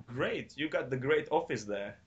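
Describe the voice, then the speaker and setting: A man's voice: mid-range voice, lively intonation, moderate pace. A man interviewing a guest, giving short, encouraging prompts and questions. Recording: dull lo-fi sound.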